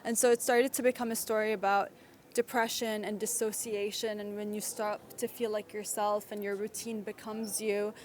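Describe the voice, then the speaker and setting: A woman filmmaker explaining her animated film in a reflective, tentative way. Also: mid-range voice, normal speed, slight background noise. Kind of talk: interview answers.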